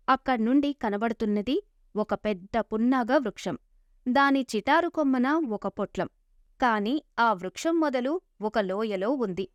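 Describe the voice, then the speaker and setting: A woman's voice: a little high, dry recording, studio quality. A woman narrating a scripted moral story as a storyteller. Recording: dull lo-fi sound.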